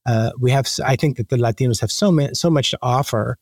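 A man being interviewed, speaking conversationally and haltingly as he thinks aloud with repeated false starts. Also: medium pitch, darkish tone, no echo, studio quality. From born in the Dominican Republic. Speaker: interview guest, magazine editor.

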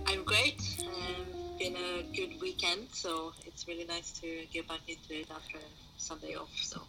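A woman from Norway giving casual interview answers as a guest, haltingly and with frequent pauses. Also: lowish voice, level tone, bright, forceful tone, faint music behind. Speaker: interview guest, bar owner. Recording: clearly noisy, background ambience. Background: background noise of an open bar.

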